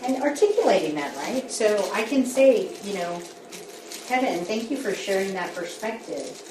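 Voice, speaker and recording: A woman's voice: mid-range voice, expressive, moderate pace, background noise. A woman school board member speaking candidly, coaching colleagues through a group workshop in a meeting room. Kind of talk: workshop discussion. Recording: echoey room, distant mic.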